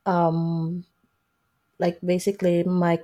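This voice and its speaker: A woman's voice: fairly low pitch, monotone, slow, warm tone. A woman in her forties with an Indonesian accent, explaining things casually as an interview guest. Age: 40s. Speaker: interview guest, software developer.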